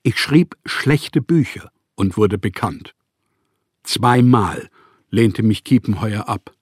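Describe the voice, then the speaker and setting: An actor playing a melancholy, self-mocking male novelist in a radio play, with a laconic, deadpan delivery. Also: fairly low pitch, slow, slightly rough, no echo, clean studio sound, exaggerated voice. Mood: wry, melancholy.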